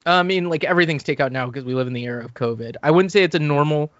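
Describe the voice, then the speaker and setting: A man's voice: on the high side, racing, dry recording, muffled recording. A man hosting a podcast, recapping TV in casual, rambling, profane banter. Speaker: podcast host.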